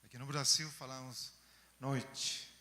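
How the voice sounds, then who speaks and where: A man from Chile with a Chilean Spanish accent speaking in a second language, giving an academic talk to students, unhurried, pausing often and tagging phrases with "não?". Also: mid-range voice, flat delivery, bright, forceful tone. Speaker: guest lecturer. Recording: long hall reverb.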